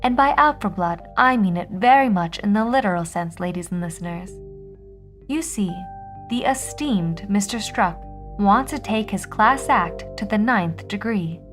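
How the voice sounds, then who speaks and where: A woman playing a deliberate, theatrical 1950s telephone switchboard operator who hosts with arch, florid showmanship. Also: mid-range voice, animated, soft background music, natural voice. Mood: arch, teasing. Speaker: host, switchboard operator narrator. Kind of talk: theatrical scripted narration, anthology radio drama.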